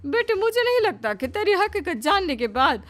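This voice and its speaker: A woman's voice: very high voice, sing-song, normal speed, faint music behind. A woman voicing a doting, humble middle-aged housemaid mother in an affectionate, homely, exclamatory cartoon-story way. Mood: amazed, worried. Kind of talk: Hindi moral-story cartoon dialogue.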